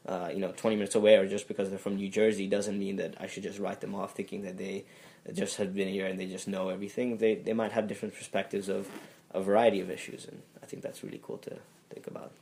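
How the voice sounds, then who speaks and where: A young man from Tanzania answers interview questions in a low voice. He speaks fast and in a fairly flat, even tone, often restarting his sentences as he thinks aloud. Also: a little hiss or hum. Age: young adult. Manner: thoughtful, many fillers and restarts. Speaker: interviewee, student. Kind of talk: casual interview.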